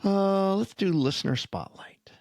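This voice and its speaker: A man's voice: sits high, a little slow, darkish tone, dry recording, clean studio sound. A man co-hosting a podcast in casual chat, with a folksy storytelling manner. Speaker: podcast co-host.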